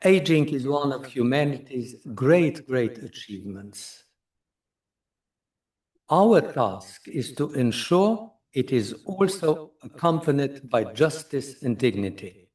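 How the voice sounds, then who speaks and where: A man delivers a prepared conference speech in a solemn, measured way at a very slow pace. His voice comes from well back from the microphone. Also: mid-range voice, expressive, a little mellow. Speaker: keynote speaker. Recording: distant mic in a conference room.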